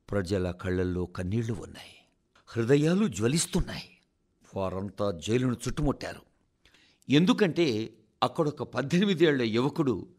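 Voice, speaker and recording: Male radio reader, mid pitch with highly expressive swings, formal oratorical delivery, solemn and deliberate pauses. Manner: solemn, oratorical, measured phrasing with dramatic pauses. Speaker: radio reader of a translated national address. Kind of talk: scripted formal radio address.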